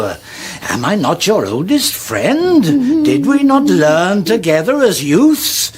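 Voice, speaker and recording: Fussy old wizard, wildly swooping high voice. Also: male voice, heavily acted. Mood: worried, pleading. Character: chummy, fussy old male wizard, loyal friend. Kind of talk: comic fantasy radio drama acting.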